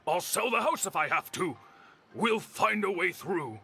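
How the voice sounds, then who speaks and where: A devoted old grandfather in a video game, his voice husky and full of creak. It is pitched high and swings about dramatically. He sounds kindly and theatrical. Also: big pitch swings, a little slow, sharp tone, heavily acted. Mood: reassuring, dramatic. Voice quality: gravelly, raspy. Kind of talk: exaggerated video game voice acting.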